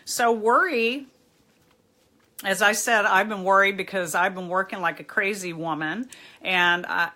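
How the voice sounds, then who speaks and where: A middle-aged woman therapist chatting casually on a live stream, her voice a little raspy from overuse, greeting viewers by name. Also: medium pitch, a little slow, bright, forceful tone. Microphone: phone or webcam, live stream.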